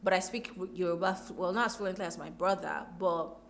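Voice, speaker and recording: Nigerian woman, expressive conversational storyteller. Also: fairly low pitch, a little fast, creaky, slight background noise. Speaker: interview guest. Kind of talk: reflective conversational interview.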